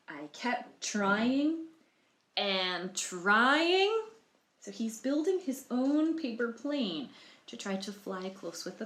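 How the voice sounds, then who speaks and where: A woman reading a picture book aloud to children, expressively, with explanatory asides and questions for the listeners. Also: on the high side. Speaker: library storytime reader. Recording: roomy, echoey sound.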